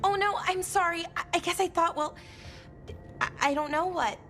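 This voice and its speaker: A woman's voice: very high-pitched, normal speed, pushed and bright, soft background music. An actress voicing an eager, meek young elf woman in a video game, hesitant, earnest and apologetic. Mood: flustered, apologetic. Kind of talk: video game cutscene acting.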